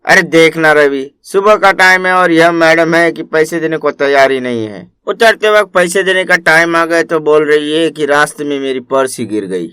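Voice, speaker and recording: A man voicing an annoyed, insistent rickshaw driver who complains, in an animated moral story. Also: on the high side, moderate pace, hardly any pauses, smooth, no room sound. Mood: indignant. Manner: complaining, argumentative.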